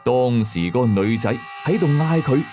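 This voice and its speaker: A man's voice: medium pitch, normal speed, music in the background. A man with a Hong Kong accent narrating a scripted ghost story in a colloquial, dramatic and suspenseful way.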